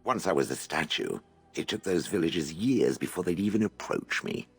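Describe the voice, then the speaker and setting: An actor playing an ancient, grumpy stone golem in a video game, speaking with haughty, blunt, dry sarcasm. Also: male voice, deep voice, sing-song, normal speed, creaky, slightly rough, faint music behind, full character voice. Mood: menacing, irritated. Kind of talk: video game dialogue acting.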